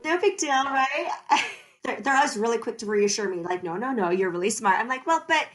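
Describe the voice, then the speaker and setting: Animated woman telling stories. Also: on the high side, fairly quick. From United States. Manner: animated storyteller, laughs often, explains at length. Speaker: guest. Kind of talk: conversational podcast interview.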